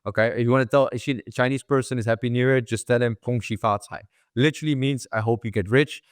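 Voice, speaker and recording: Fast-talking young man hosting a podcast. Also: medium pitch, steady tone, no room sound, clean studio sound. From Netherlands. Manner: loose, repeats words, says bro a lot. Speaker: podcast host. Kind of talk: casual interview chat.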